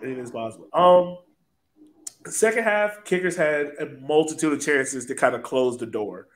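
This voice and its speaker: A man's voice: fairly high pitch, lively intonation, normal speed. A man hosting a casual sports podcast, chatting in a playful, teasing way. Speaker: podcast host.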